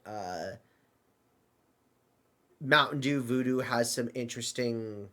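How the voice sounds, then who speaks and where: A man with a mid-pitched voice hosts an improvised comedy skit. He speaks very slowly and haltingly, with a bemused air. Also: fairly bright tone. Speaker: host of a comedy web series.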